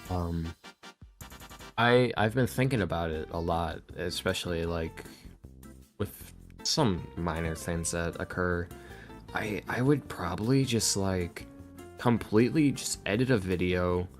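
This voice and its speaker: A man's voice: low-pitched, measured pace, faint music behind. A man speaking as a podcast guest in a casual, reflective way, hesitant and self-deprecating as he rambles candidly. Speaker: podcast guest, ASMR roleplay audio creator. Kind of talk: casual reflective interview answers.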